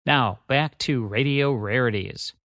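A man's voice: mid-range voice, measured pace, fairly bright tone, a little hiss or hum. A man co-hosting a radio show, reading a scripted promotional announcement. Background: music.

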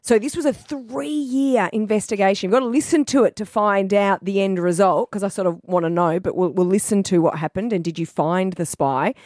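A woman's voice: mid-range voice, a little mellow, dry recording, clean studio sound. A woman hosting a podcast, playful and asking one eager question after another. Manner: playful, asks eager rapid-fire questions. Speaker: podcast host. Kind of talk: casual podcast chat.

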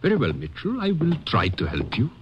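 An actor playing a discouraged former lieutenant of a sheik in a radio drama, speaking formally and earnestly. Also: male voice, mid-range voice, huge pitch swings, highs cut off, a little hiss or hum. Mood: troubled. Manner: formal, measured, uncontracted speech. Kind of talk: 1950s radio drama acting.

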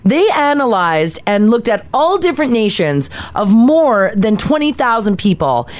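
A young adult woman with a lower-pitched voice, co-hosting a casual morning radio show and reading study findings in a conversational way. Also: big pitch swings, a little slow.

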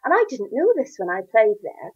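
A woman's voice: fairly high pitch, big pitch swings, a little fast, husky, muffled recording. A woman with a Northern Irish accent, an author answering interview questions with enthusiasm and a storytelling lilt. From Northern Ireland. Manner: enthusiastic, warm storytelling with laughs. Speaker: children's author.

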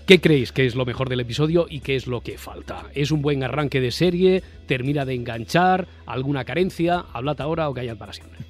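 A man's voice: medium pitch, fairly quick, few pauses, soft background music. A man with a Castilian Spanish accent hosting a round-table podcast, articulate and fond of rhetorical questions. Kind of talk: semi-scripted panel moderation.

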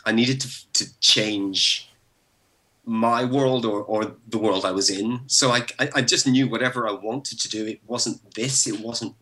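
A man in his forties speaks with a Belfast accent, his low voice carrying a bright, forward edge. He reflects as he talks, stopping and restarting often and laughing softly now and then. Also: low-quality recording. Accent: Northern Irish, Belfast. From Northern Ireland. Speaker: guest. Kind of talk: relaxed interview over a call.

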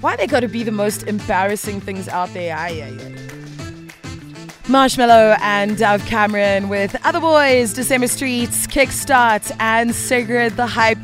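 A woman's voice: on the high side, a little slow. Bubbly woman hosting morning radio. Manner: bubbly, chatty. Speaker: radio host. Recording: radio broadcast with music bed.

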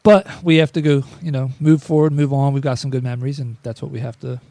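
Mellow-voiced podcast host, dry close mic. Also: male voice, medium pitch, steady tone, fast, no room sound. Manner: loose, rambling with fillers. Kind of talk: casual chat.

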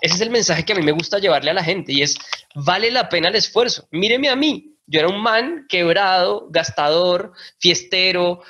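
Bright, high-voiced Colombian man. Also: moderate pace, muffled recording. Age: 30s. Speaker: podcast guest, financial educator. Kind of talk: motivational conversational interview. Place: remote call interview.

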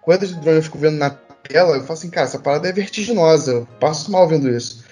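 A man's voice: on the high side, racing, darkish tone, soft background music. A man with a Brazilian accent speaking casually in a panel discussion, thinking aloud in a discursive way and explaining through everyday examples. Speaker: panel participant.

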